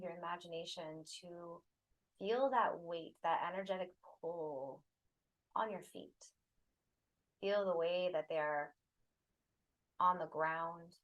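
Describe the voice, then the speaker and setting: A woman leading a guided meditation, giving soothing, unhurried instructions in short phrases with pauses between them. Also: on the low side, fairly bright tone, rough recording.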